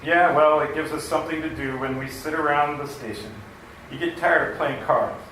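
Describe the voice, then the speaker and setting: A man's voice: on the high side, normal speed, pushed and bright, distant mic. A man playing a friendly, plainspoken neighborhood police officer in a radio comedy, folksy and matter-of-fact. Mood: affable. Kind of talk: old-time radio comedy acting. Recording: echoey hall from afar, faint noise.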